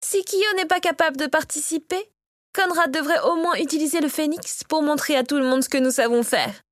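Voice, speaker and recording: A woman's voice: high voice, animated, moderate pace, no room sound, clean studio sound, voice pushed up. Woman voicing a furious, domineering mother. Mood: angry. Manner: commanding, angry, scornful. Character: Victoria, furious domineering mother in a fantasy tale.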